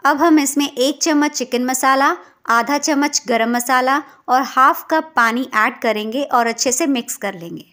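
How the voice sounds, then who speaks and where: A woman's voice: high voice, unhurried, hardly any pauses, a bit bright. A woman from India hosting a cooking channel, narrating a recipe step by step in an instructional way.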